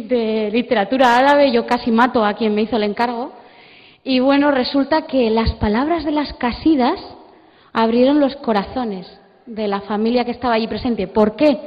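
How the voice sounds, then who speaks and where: A woman in the audience of a panel, telling an anecdote conversationally. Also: fairly high pitch. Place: large auditorium during a panel. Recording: muffled, echoing auditorium sound.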